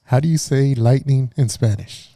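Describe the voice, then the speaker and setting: Dark, dry-voiced podcast host. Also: male voice, medium pitch, moderate pace, dry recording. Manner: laid-back, short acknowledgments. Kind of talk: casual podcast hosting.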